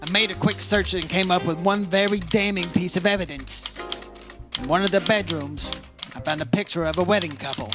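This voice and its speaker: A man playing a brisk, hard-boiled insurance investigator in an old-time radio detective drama, terse and matter-of-fact. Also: sits high, over music, natural voice. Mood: matter-of-fact narration.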